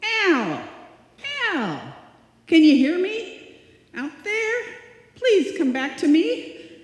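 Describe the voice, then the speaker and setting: A woman's voice: very high-pitched, big pitch swings, drawn-out words, exaggerated voice. A woman playing a ditzy, lovelorn young woman who calls out plaintively in a live old-time radio comedy. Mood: pleading. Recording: echoey hall, live stage performance.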